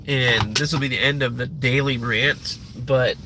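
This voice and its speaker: A man's voice: medium pitch, fairly even intonation, a little slow, background noise. A young man hosting a livestream, ranting loosely with plenty of fillers and swearing. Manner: loose, profane, meandering talk with many fillers. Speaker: livestream host. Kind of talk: rambling live rant.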